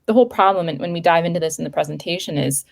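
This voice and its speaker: Woman guest, low voice with vocal fry, explanatory conversational delivery. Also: rapid-fire. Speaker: threat intelligence analyst guest. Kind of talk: casual interview chat.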